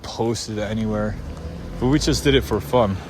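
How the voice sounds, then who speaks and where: Young Swiss man, Swiss German accent, slightly low voice, chatty vlogger, noisy car recording. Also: normal speed. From Switzerland. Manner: loose, unscripted, many fillers and restarts. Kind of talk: casual rambling monologue.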